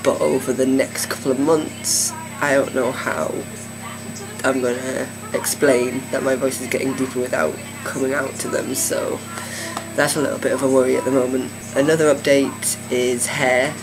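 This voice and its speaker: A man's voice: mid-range voice, moderate pace, over music, poor audio. A man giving a casual video diary update, talking hesitantly with many fillers and restarts. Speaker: vlogger. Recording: steady air conditioning hum.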